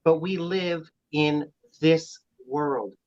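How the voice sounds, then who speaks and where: Blunt low-voiced woman, lo-fi mic. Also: fairly even intonation, very slow, a little mellow. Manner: blunt, opinionated. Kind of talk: casual podcast chat.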